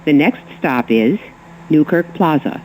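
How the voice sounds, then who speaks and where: A woman reading scripted automated subway announcements as the train announcer, in measured, clearly segmented phrases. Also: animated, a little mellow. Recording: muffled, noisy recording inside subway train. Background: train rumble and ambience.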